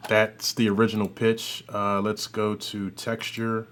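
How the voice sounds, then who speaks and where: A man hosting an audio software tutorial, talking informally and thinking aloud as he works through a demo. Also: on the low side, completely flat delivery, measured pace, over loud music. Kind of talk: casual demo commentary.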